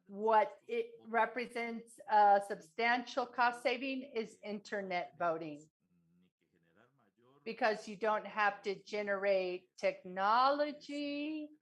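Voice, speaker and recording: A woman with a somewhat high voice interprets a formal forum speech. She goes very slowly, setting the content out in short, measured phrases with halting breaks between them.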